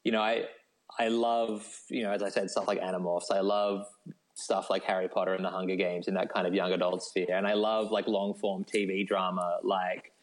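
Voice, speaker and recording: A man's voice: low voice, little pitch movement, normal speed. An Australian man speaking as an interview guest, in a casual, discursive way peppered with "like" and "you know". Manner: informal, discursive, frequent 'like' and 'you know'.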